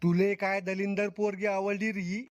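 A man's voice: high-pitched, little pitch movement, moderate pace, no room sound, close to an everyday voice. A man voicing a grumpy, blunt old village grandfather in a comic cartoon, with a Vidarbha Marathi accent. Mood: grumpy, scolding. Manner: blunt, disapproving, insulting.

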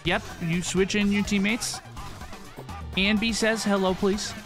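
A woman's voice: mid-range voice, steady tone, a little slow. A woman giving casual live reaction commentary, easily amazed and gushing as she hosts. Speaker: host of a reaction video. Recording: music behind the voice. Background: game trailer music and dialogue.

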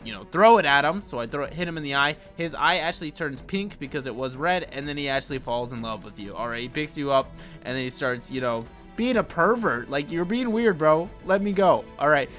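Slightly raspy man hosting a gaming tutorial. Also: mid-range voice, a little fast, a bit bright. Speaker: gaming video host. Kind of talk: casual gameplay tutorial commentary. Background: game music.

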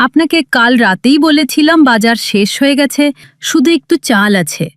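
Woman acting a high-voiced devout cartoon wife. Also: animated, normal speed, no echo, clean studio sound. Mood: worried. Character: patient, devout young wife and mother.

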